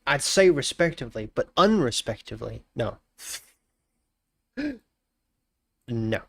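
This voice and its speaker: A man's voice: mid-range voice, sing-song, slow, gravelly, dry recording, clean studio sound. A man in his twenties with a blocked nose, chatting casually and jokingly on a live stream, often trailing off into mumbles. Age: 20s. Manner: joking, greets chat warmly, trails off into mumbles.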